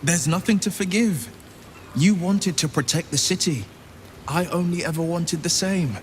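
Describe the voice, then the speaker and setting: An actor playing a noble, earnest adventurer son in a fantasy game, speaking in a gentle, sincere way. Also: male voice, sits high, normal speed, a little hiss or hum, close to an everyday voice. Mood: earnest, pleading. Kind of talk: dramatic fantasy game acting.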